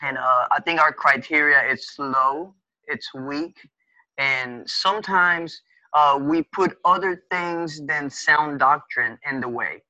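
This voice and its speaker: A man in his thirties whose first language is Spanish talks casually as a podcast guest, his voice bright and forceful. He speaks haltingly, with frequent fillers and restarts. The sound is dry, with almost no room. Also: fairly high pitch, dry recording, muffled recording. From Spanish-speaking country. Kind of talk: casual conversation.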